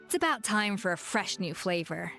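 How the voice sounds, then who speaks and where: Anime game head chef: confident, exacting, mid-pitched and precise, soft music underneath. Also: female voice, lively intonation, moderate pace. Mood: curious, musing. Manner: composed, assured, precise. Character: proud, exacting young female gourmet chef, brisk and confident. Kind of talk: anime video game voice acting.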